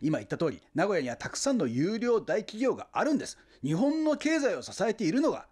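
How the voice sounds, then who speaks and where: An actor playing a pushy, fanatical hometown booster who lectures insistently and grandiosely in a comic radio drama. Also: male voice, sits high, big pitch swings, fast talker, a little mellow, husky, heavily acted. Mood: indignant. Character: pushy, fanatical Nagoya booster, indignant salesman-like man.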